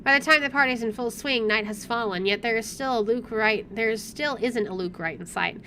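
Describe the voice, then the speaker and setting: A woman's voice: on the high side, brisk, bright, forceful tone, slight background noise. A woman reading visual novel narration aloud with wry, dramatic expression over game music. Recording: music playing behind the voice.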